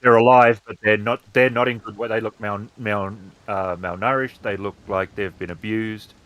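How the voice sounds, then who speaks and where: A man improvising the narration of a tabletop roleplaying game in measured, halting phrases. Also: lowish voice, steady tone, lo-fi sound.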